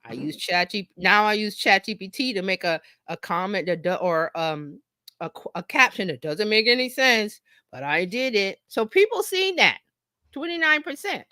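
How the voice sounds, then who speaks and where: A woman teaching informally on a live stream as a marketing coach, conversational and animated, often acting out imagined remarks and checking in with listeners. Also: medium pitch, normal speed, dry recording, clean studio sound.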